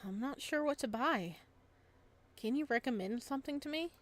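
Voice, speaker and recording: A woman's voice: high-pitched, expressive, moderate pace, voice pushed up. A woman voicing a timid young male student in a visual novel, nervous, awkward and easily flustered. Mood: awkward, friendly.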